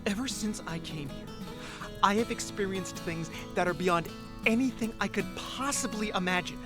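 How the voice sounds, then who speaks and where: A man's voice: sits high, moderate pace, over music, natural voice. A man playing an earnest, lovestruck and stubbornly determined ordinary guy in an amateur audio drama, emotional, hesitant and then insistent. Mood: nervous, pleading.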